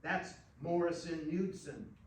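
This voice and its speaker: A man's voice: a little high, fairly even intonation, slow. A man voicing a wily old American rodeo cowboy in a folksy, salesmanlike storybook manner. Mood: sly pitching. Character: wily old rodeo-veteran rope braider, cowboy hustler. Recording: roomy, distant stage recording, faint noise.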